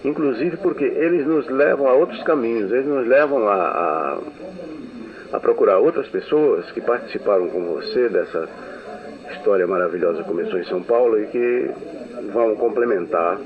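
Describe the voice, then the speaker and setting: A man's voice: mid-range voice, animated, normal speed. A man interviewing a guest for a radio station, speaking in a courteous and formal way. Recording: narrow, phone-like old radio archive.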